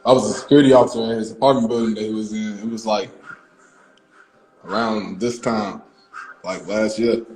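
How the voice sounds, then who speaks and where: A man's voice: lowish voice, fairly even intonation, moderate pace, long pauses, a little mellow, roomy sound, rough recording. A man, a guest on a live stream, explaining his project in a plain, matter-of-fact way. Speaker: guest, film co-creator. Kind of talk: casual live-stream talk.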